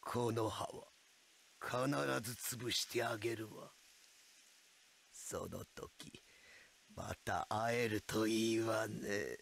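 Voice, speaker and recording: A man's voice: lowish voice, fairly even intonation, extremely slow, gravelly, long pauses, fairly bright tone, slight background noise, exaggerated voice. An actor playing a sly, cold male villain in a game cutscene, taunting in a silky, feminine-toned way. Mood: mocking.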